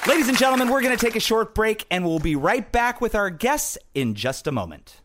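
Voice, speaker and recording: A man's voice: high-pitched, sing-song, fairly quick, loud music behind the voice, over a noisy crowd. A man hosting a comedy podcast in a mock-formal, announcer-like manner. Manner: announcer-like, playful. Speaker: podcast host.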